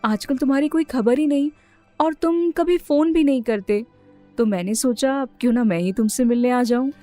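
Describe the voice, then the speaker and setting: A woman voicing a kind young female friend in an animated story, earnest and reassuring. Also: high voice, normal speed, no room sound, faint music behind, natural voice. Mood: friendly. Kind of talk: animated moral story dialogue.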